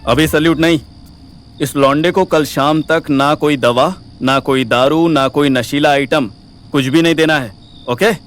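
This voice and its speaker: A man's voice: fairly high pitch, measured pace, soft background music, lightly acted. A man playing a brash, swaggering, foul-mouthed young sleuth in an audio drama, talking in bossy slang. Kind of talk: acted audio drama dialogue.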